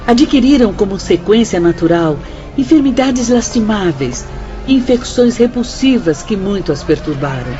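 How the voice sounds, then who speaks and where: An actress playing a stern, sorrowful spirit instructor who preaches formally in a radio drama style, with a Brazilian accent. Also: female voice, mid-range voice, expressive, moderate pace, mellow, highs cut off, faint music behind, natural voice.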